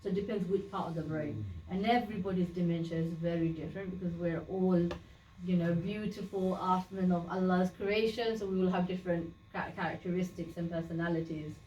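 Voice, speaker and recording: A woman from Bangladesh whose first language is Sylheti talks to a community group in a chatty, plain way, restarting words and filling pauses as she explains. Her voice sits fairly low. She is heard from a distance in an echoey meeting room with faint background noise. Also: steady tone, dark tone, dull audio. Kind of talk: informal awareness talk to a group.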